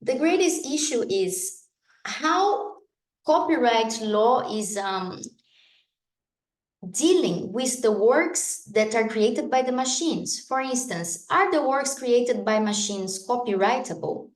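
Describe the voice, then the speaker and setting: A woman from Brazil with a Brazilian Portuguese accent, a lawyer giving a conference talk, explaining in a measured way with frequent hesitations. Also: a little high, lively intonation. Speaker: guest speaker, lawyer. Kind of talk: conference presentation. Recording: echoey room.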